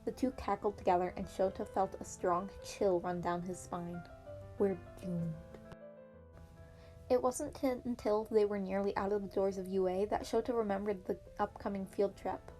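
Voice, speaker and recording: A woman narrates a piece of fan fiction in an amateur audiobook style. Her voice sits at an average pitch, with soft piano music playing behind it. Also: steady tone, normal speed, few pauses.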